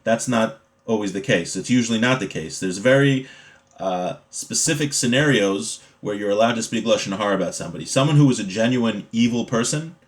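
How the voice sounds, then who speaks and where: A man with a fairly low voice gives an informal religious lesson. He talks conversationally as a teacher would, explaining the text in plain terms and using everyday examples. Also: animated, brisk. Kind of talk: informal Torah class, reading a text and explaining it.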